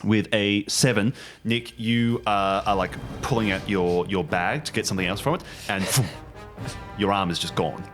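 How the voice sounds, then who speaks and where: A man narrating a tabletop game as game master, halting and dramatic as he sets the scene. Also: lowish voice, steady tone, a bit bright. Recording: music behind the voice. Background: background music.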